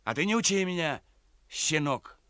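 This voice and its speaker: An actor playing a brazen, sly jailed crook, insolent and mock-courteous, in a radio play. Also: male voice, on the high side, lively intonation, moderate pace, fairly bright tone, clean tone, no room sound, clean studio sound. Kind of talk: Soviet radio play acting.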